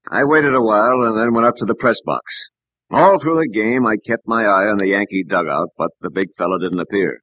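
An actor playing a loyal, anxious American sportswriter, earnestly narrating and acting in an old-time radio drama. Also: male voice, fairly low pitch, brisk, no echo, natural voice. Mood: somber narrating. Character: loyal, worried male sportswriter friend, narrating. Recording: dull, dry old radio recording.

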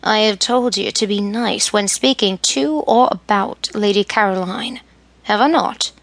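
A woman voicing Charles, a stern, teasing young nobleman, in a slightly lowered, firm voice while reading a story. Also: unhurried, dry recording.

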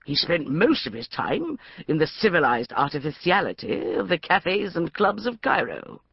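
A woman voicing a gruff, irascible older male archaeologist with a growling, blustery delivery. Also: fairly low pitch, big pitch swings, moderate pace, dry recording, dull audio, full character voice. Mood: testy.

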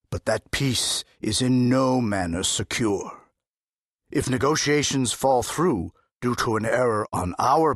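An actor playing a dignified older duke, speaking as a cautious leader in a formal, solemn manner, in an audiobook. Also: male voice, mid-range voice, no room sound, studio quality. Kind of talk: full-cast audiobook character acting.

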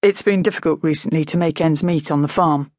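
A woman voicing a kindly middle-aged farmer father in a storybook, gentle and hesitant, worried but trying to sound positive. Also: sits low, a little fast, no room sound, studio quality, lightly acted. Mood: forced cheer.

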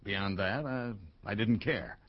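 An actor playing a troubled, blunt American businessman and wronged husband in a radio drama, speaking haltingly. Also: on the low side, lo-fi sound. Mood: bitter. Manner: halting, hesitant, with bursts of bluntness.

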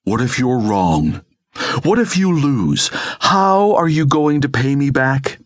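A man's voice: mid-range voice, big pitch swings, slow pace, dry recording, clean studio sound, voice pushed up. A man voicing a brash, irritable woman in an audiobook, grumbling and exclaiming with scorn. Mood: scornful.

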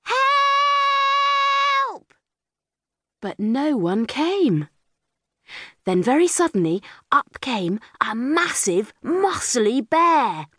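A woman's voice: a little high, big pitch swings, slow, breathy edge, no echo, studio quality. A woman narrating a children's story from a script as an audiobook reader.

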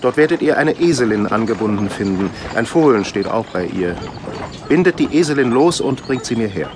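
A man playing a calm, resolute spiritual teacher in an audio drama for children, speaking with measured authority. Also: medium pitch, background noise, lightly acted. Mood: instructing.

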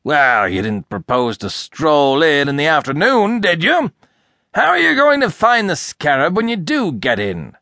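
A man's voice: medium pitch, animated, quick pace, hardly any pauses, fairly bright tone, no room sound, clean studio sound. A man reading a storybook, voicing an irascible, sarcastic, blustering older rich American man who hectors explosively. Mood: sarcastic, scornful. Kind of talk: audiobook character acting.

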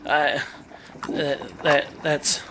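A man playing a doomed, resigned Old West outlaw in a radio drama, speaking haltingly. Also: a little high, monotone. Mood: resigned, remorseful. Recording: noisy outdoor scene.

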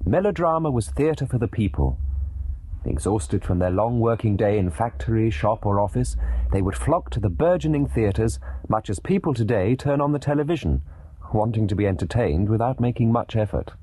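A man reading a scripted documentary narration in a literate, measured way with dramatic emphasis. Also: on the low side, lively intonation, mellow, husky, dry recording, low-quality recording.

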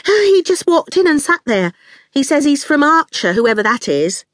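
Woman playing a high, jittery young female student. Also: huge pitch swings, unhurried, dry recording, clean studio sound, full character voice. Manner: nervous, fluttery.

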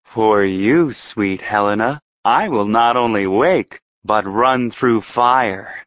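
A man's voice: lowish voice, slow pace, no echo, muffled recording. A man playing an ardent, spellbound young nobleman in a Shakespeare audiobook, declaiming fervently. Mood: ardent, adoring. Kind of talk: theatrical audiobook Shakespeare acting.

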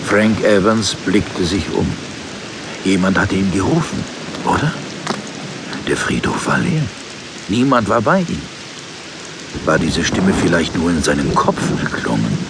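A man's voice: sits low, sing-song, unhurried, long pauses, heavy background noise. A man giving dramatic scripted narration of a German horror audio drama. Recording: loud rain and thunder behind. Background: rainstorm with thunder.

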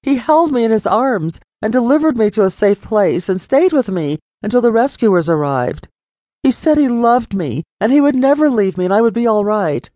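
A woman's voice: on the high side, very animated, normal speed, warm tone, no echo, clean studio sound, voice pushed up. A woman reading an earnest devout daughter. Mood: resolute. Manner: earnest and resolute.

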